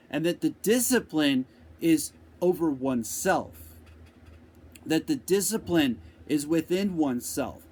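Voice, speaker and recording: A man giving an unscripted motivational talk, speaking slowly and deliberately with repeated phrases, answering a viewer's question. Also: a little high. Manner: slow, deliberate, repetitive phrasing.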